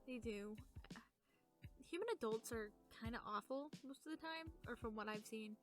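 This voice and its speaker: A woman's voice: a little high, moderate pace, faint music behind. A woman co-hosting a podcast in character as a young demon, telling personal stories in a chatty, informal way. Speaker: podcast co-host.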